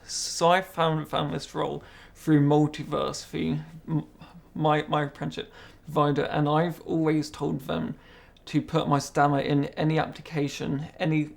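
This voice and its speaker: A young man with a stammer answers interview questions in a careful, measured way, sometimes repeating words. His voice sits fairly high for a man with a gravelly edge. He keeps a flat, steady tone throughout. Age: young adult. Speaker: interviewee, apprentice. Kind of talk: interview conversation.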